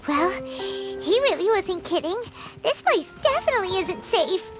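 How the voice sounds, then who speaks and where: Game sidekick: tiny childish fairy, piercingly high, very animated pitch, bubbly and blunt. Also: female voice, measured pace, music in the background, exaggerated voice. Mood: uneasy. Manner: speaks of herself in third person, exclamatory. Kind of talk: cartoonish video game voice acting.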